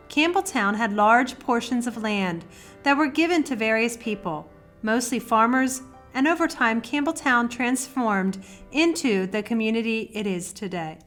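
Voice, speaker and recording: A woman giving scripted documentary narration about a village's history in a steady, measured way. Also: a little high.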